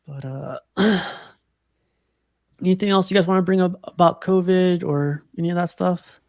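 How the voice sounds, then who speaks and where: A man hosts a relaxed livestream chat from a video call, rambling and laughing often. His voice sits a little high with a gravelly edge. The sound is dry and close. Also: normal speed, no room sound. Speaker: podcast host.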